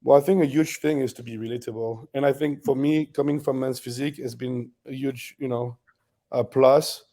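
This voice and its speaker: A man in his thirties with a French accent, a bodybuilder guest telling stories in a relaxed interview, laughing often. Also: mid-range voice, normal speed. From France. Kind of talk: casual interview storytelling.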